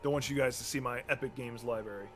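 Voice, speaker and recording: A male streamer with a lilt, rambling casually through his loadout with frequent ums and self-corrections. His voice is mid-pitched and wearing thin and hoarse from a long session, with faint music playing underneath. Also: fairly even intonation, fast, a bit bright. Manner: rambling, many ums and restarts, talking through menus. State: losing his voice. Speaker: game streamer. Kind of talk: casual live-stream commentary.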